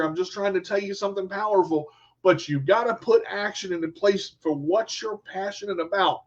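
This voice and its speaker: A man's voice: high voice, dull audio. A man hosting his own radio show, giving an emphatic motivational talk to listeners, repeating key phrases and checking in with "okay?". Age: adult with a son. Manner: emphatic, repetitive, talks straight to listeners. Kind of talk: motivational monologue.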